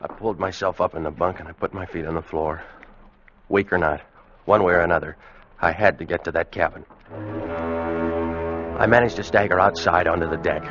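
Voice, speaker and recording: A man's voice: low voice, steady tone, fairly bright tone. A man playing a weakened, fearful American sailor, giving a grave, haunted first-person account in a radio drama. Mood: haunted, tense. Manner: grave, haunted, measured storytelling. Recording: muffled vintage radio recording, music behind. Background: dramatic music.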